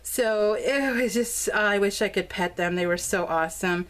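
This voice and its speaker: An American woman with a medium-pitched voice talks through her craft pages in a casual vlog style. She is bubbly and gushing, and she rambles along in loose, unscripted sentences. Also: normal speed, a bit bright, rough recording. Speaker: crafting vlogger showing scrapbook pages.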